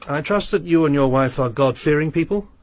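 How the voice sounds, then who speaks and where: An actor playing a secretive, eccentric, pious gentleman lodger, speaking in a clipped, formal, commanding way. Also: on the high side, a little fast, lightly acted. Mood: cold. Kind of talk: radio drama acting.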